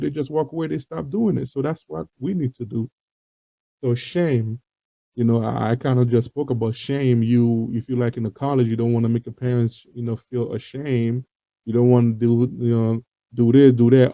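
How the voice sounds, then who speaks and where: Haitian-accented male host, rough gravelly timbre, earnest and rambling, dull lo-fi recording. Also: mid-range voice, dark tone, no room sound. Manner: earnest, many fillers and repetitions. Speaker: host of an online talk show. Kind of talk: informal monologue to viewers.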